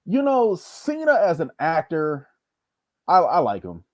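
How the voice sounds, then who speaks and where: A man's voice: fairly high pitch, huge pitch swings, a little slow, dry recording, studio quality. A man as a podcast guest, chatting casually in a blunt, slangy, opinionated way. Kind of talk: casual chat.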